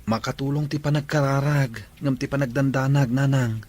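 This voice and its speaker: Earnest mid-pitched Filipino son, acted by a man. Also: steady tone, moderate pace, a little mellow, lightly acted. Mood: reassuring. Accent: Ilocano Filipino. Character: concerned grown son soothing his worried mother. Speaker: drama voice actor. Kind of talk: dialogue acting in an Ilocano audio drama.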